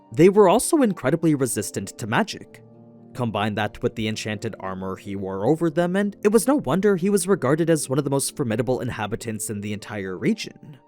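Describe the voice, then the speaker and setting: A man reading scripted narration in a measured explainer manner, as for a recap video. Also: medium pitch, darkish tone. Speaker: narrator of an anime lore recap show. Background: background music.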